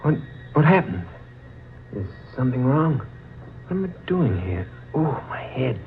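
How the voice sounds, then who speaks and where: A man's voice: mid-range voice, very animated, unhurried, vocal fry, soft background music. An actor playing a bewildered, haunted man possessed by a long-dead ancestor, in 1940s radio drama style. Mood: dazed. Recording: muffled, hissy 1940s radio recording.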